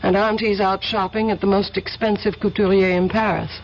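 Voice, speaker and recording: An actress playing an earnest young heroine. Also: female voice, mid-range voice, little pitch movement, brisk, lightly acted. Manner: earnest, gentle. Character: earnest young woman, conflicted but warm. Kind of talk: 1970s radio drama acting. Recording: lo-fi old radio recording with faint hiss.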